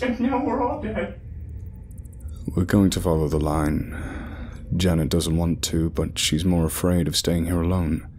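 Deep-voiced man, tense hushed log. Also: slight background noise. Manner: low hushed whispering, tense. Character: shaken male scientist in a cave. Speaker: expedition scientist recording a log. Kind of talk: horror audio drama narration.

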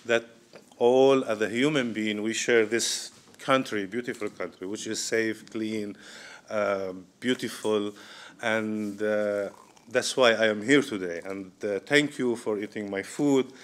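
A man in his thirties with a Syrian Arabic accent, giving an informal talk to an audience in halting English full of pauses and fillers, recorded by a distant camera in an event room. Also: lowish voice, steady tone. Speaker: guest speaker, restaurant owner. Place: medium indoor event room with diners. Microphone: far camera, no mic.

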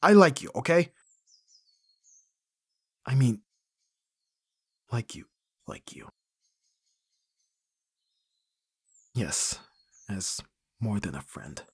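Young male actor as flustered lovestruck best friend for ASMR roleplay: very husky, breathy, swinging pitch, halting. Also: wildly swooping pitch, no echo, studio quality, heavily acted. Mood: nervous confession. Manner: hesitant, stammering, sighing delivery. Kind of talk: ASMR roleplay acting, intimate and conversational.

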